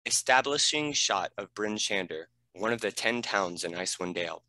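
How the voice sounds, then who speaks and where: A man's voice: lowish voice, expressive, moderate pace, pushed and bright, dry recording, rough recording. A man reading scripted stage directions as a narrator.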